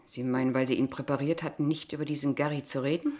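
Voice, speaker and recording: Low-voiced, quietly insistent kindergarten teacher. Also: female voice, fairly even intonation. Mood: polite, firm. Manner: measured, formal, pointed, quietly persistent. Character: polite, insistent, probing kindergarten teacher, a young unmarried woman. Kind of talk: German radio play dialogue acting.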